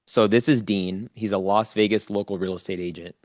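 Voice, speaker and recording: A man's voice: fairly low pitch, fairly even intonation, a little fast, dry recording. Emphatic male motivational coach, dry close recording. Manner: emphatic, repeats key phrases for effect; informal, addresses listeners directly. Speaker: presenter coaching a live online audience.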